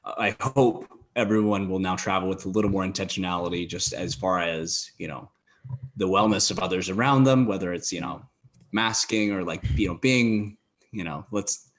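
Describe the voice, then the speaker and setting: A man's voice: sits low, normal speed, a bit bright. A man talking as a podcast guest in a thoughtful way, with lots of "you know" fillers. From United States. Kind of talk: casual conversation.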